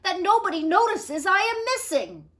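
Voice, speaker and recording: Lady putting on a pompous grumpy big president guy from a kids book, bellowing, bright and swoopy. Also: extremely high pitch, sing-song, normal speed, voice pushed up, exaggerated voice. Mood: frustrated. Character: blustering, exasperated, stout male president in a storybook.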